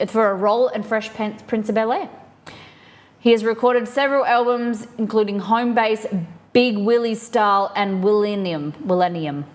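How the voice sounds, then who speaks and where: A woman hosting a live stream, chatting casually and reading trivia clues aloud to her viewers while baking in her kitchen. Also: mid-range voice. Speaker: streamer host.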